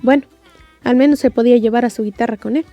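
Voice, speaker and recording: A woman with a Mexican accent reads a story with wry humour. Her voice is somewhat high yet mellow, recorded dry, with soft music underneath. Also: fairly even intonation, quick pace, no room sound, dull audio. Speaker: audiobook narrator. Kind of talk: wry scripted story narration.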